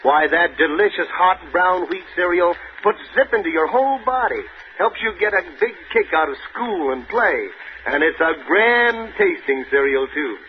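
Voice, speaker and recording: A man's voice: high voice, animated, normal speed, a little hiss or hum. A man playing a folksy, emphatic announcer in the style of 1930s radio advertising. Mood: friendly persuasion. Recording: muffled 1930s radio recording, music behind.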